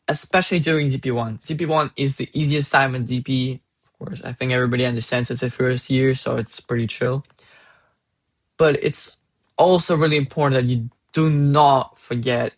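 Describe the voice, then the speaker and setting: A young man from Russia with a Russian accent, giving earnest, halting advice as a student and restarting phrases mid-sentence. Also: mid-range voice, fairly bright tone. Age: young adult. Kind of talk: casual advice monologue.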